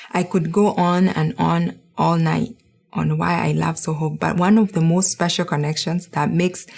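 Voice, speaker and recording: A woman's voice: fairly low pitch, darkish tone. A woman from Kenya, in her thirties, giving an earnest, heartfelt speech as a board member at a charity gala.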